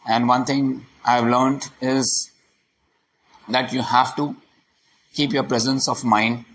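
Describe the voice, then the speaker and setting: A man, his voice hoarse and gravelly from a sore throat, records a diary-style podcast at home. He speaks slowly and carefully at an ordinary pitch, stopping often on fillers. Faint room ambience sits behind him. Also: little pitch movement, fairly bright tone, a little hiss or hum, poor audio. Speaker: podcast host. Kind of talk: personal daily diary podcast. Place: new rented apartment, indoors.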